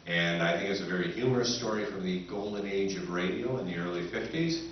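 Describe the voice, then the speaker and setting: A man's voice: deep voice, animated, fairly quick, a little hiss or hum. A middle-aged man giving a casual spoken introduction as a presenter on stage. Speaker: radio recreation presenter. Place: convention stage. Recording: very echoey, dull, distant hall recording.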